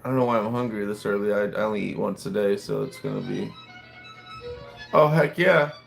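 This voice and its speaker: Gravelly laid-back man in his late 30s. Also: lowish voice, fairly quick. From United States. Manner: rambling, laid-back, chatting to viewers and reacting to comments. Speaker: livestreamer. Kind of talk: casual livestream chat with viewers. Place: restaurant, waiting for food. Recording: faint classical music behind.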